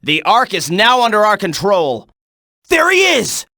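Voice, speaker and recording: A video game soldier in the thick of battle. The delivery is clipped and urgent, with a sharp, forceful edge and animated swings in pitch. It sounds close and dry. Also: male voice, unhurried, dry recording, exaggerated voice. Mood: urgent, commanding. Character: tense military soldier barking combat reports and orders.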